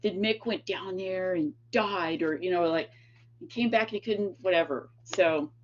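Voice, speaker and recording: A woman's voice: fairly low pitch, normal speed, a little hiss or hum. Tennessee-accented woman lecturer, rambling anecdotal delivery, dull lo-fi capture. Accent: East Tennessee. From East Tennessee. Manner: chatty, rambling anecdotes with many fillers and laughs. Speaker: guest lecturer and author. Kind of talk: storytelling lecture. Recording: dull lo-fi sound.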